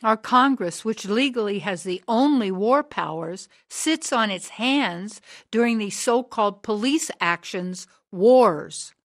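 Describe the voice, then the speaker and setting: Woman acting indignant female critic, radio drama, mid-pitched, clipped, pointed, forthright. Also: animated, slow, few pauses.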